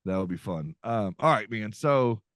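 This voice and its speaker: A man's voice: on the low side, expressive, normal speed, fairly bright tone, no room sound, clean studio sound. A man hosting a podcast, chatting casually in an upbeat, folksy way with lots of fillers. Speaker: podcast host. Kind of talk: casual podcast chat with ad reads.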